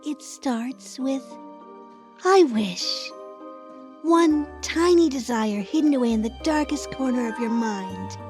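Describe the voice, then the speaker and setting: A woman plays a sinister, seductive female counselor in an audio drama. The voice is high, measured and persuasive, with music underneath. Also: animated, a little mellow, heavily acted. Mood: haunting, slow. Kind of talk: audio drama demo monologue.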